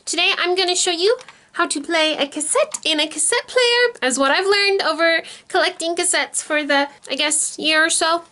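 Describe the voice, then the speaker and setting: Bright, high-pitched young woman. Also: normal speed. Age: young adult. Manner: giggly, informal, rambling. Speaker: YouTube host, cassette collector. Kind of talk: casual tutorial chat to viewers. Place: small bedroom, indoors.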